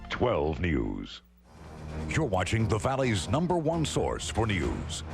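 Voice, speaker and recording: A man announcing a news station promo with a booming delivery. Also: huge pitch swings, slow, gravelly. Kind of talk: promo voice-over. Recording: voice-over with loud music behind.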